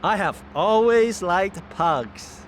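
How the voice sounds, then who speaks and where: Crude gangster henchman, game cutscene, highish lively voice, stilted phrasing, street noise behind. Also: slow. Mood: wry, matter-of-fact. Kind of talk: video game cutscene acting.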